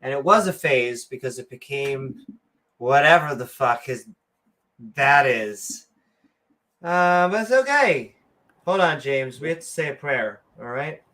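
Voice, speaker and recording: Sarcastic, slow-talking podcast host. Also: female voice, rumbling low. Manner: animated, sarcastic, full of asides. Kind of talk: casual comedic chat.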